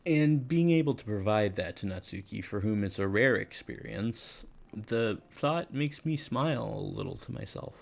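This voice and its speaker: A man's voice: fairly low pitch, animated, moderate pace, creaky, soft background music. A man playing an easygoing, self-deprecating teenage schoolboy, casual and reflective, in first-person visual novel narration and dialogue.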